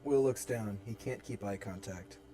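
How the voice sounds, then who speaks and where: A man's voice: lowish voice, moderate pace, dark tone, soft background music. A man reading stage directions as narrator in a dramatic screenplay table read. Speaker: narrator and reader.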